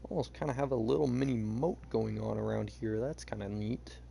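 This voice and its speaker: A young man chatting casually while he plays a game, rambling with lots of fillers, his voice a bit hoarse. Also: fairly low pitch, fairly quick, warm tone, slight background noise. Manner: rambling, hesitant, many fillers. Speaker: streamer. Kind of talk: casual gameplay chat.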